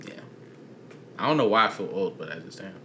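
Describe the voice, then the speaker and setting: A man's voice: low voice, expressive, fast, sharp tone, slight background noise. A young man co-hosting a casual chat, laid-back and slangy, drawing out his phrases. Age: young adult. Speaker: podcast co-host.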